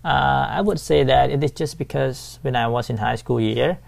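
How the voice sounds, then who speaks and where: A man's voice: mid-range voice, normal speed, gravelly. A man hosting a casual podcast, with a hesitant delivery full of fillers like "uh" and "like". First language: Thai. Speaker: podcast host.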